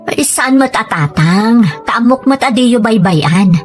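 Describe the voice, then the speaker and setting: A woman's voice: a little high, lively intonation, slow, faint music behind. A woman playing a pleading, questioning mother who is struggling, in a family drama. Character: pleading, self-concerned adult daughter, a struggling mother. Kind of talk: dramatized Ilocano family story.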